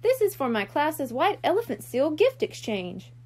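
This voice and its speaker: A conscientious big-sister kitten-mermaid girl from a storybook, played by a woman reader. She sounds earnest and a touch worried, in a high, animated voice. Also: moderate pace. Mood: kind, earnest.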